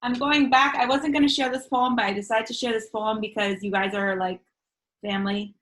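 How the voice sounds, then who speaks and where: A woman's voice: on the high side, steady tone, fairly bright tone, poor audio. Woman reading her own poetry, earnest. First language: Spanish. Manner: measured, earnest reading with casual chatty asides. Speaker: featured poet. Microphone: video call.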